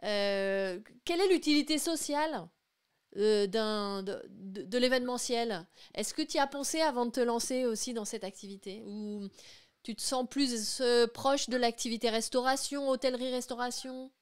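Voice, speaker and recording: A woman hosting an informal live interview, talking in a loose, halting way and often interrupting herself. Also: a little high, clear, resonant tone. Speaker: interviewer, live show host.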